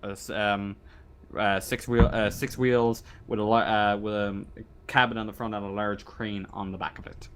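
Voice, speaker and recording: A man running a tabletop roleplaying game as game master, improvising narration in a halting way, searching for words. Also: on the low side, flat delivery, smooth.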